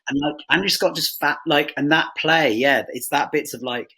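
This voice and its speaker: A man with a bright but husky, breathy voice talks as an interview guest. He thinks aloud with enthusiasm in a casual, chatty way. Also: a little high, moderate pace. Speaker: audio drama production guest.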